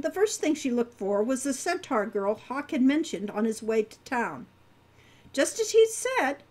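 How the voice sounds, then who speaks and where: An older woman narrating her own novel from home at a desk microphone. Her voice sits high and moves freely, with a gentle, expressive storytelling manner. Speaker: author reading her novel. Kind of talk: audiobook narration.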